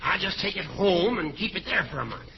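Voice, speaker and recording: A man playing a chummy, secretive older judge with a creaky voice in a 1940s radio comedy. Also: high voice, sing-song, fairly quick, exaggerated voice. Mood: fussy, secretive. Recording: dull, noisy old-time radio recording.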